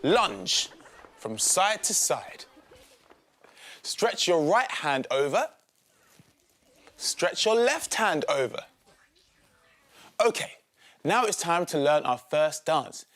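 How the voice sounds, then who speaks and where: Man playing a cheerful, lively woman dance teacher. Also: a little high, slow pace, pauses often, full character voice. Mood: upbeat, instructing. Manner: enthusiastic, calling out instructions. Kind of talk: children's storybook character voice.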